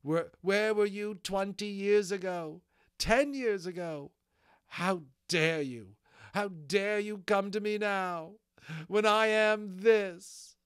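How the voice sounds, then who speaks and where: Storybook role: fierce, wounded peasant woman of middle age, high-pitched and scolding, played by a male reader. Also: sing-song, a little slow, dry recording, clean studio sound. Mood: anguished fury. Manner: scolding, anguished outbursts.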